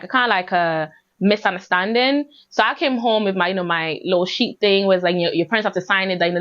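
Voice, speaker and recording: British woman podcasting, dull lo-fi sound. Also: lowish voice. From born in Ghana, raised in the UK from age six. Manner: conversational, repeats and emphasises phrases, frequent "like" and "you know". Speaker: podcast host. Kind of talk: casual personal storytelling podcast.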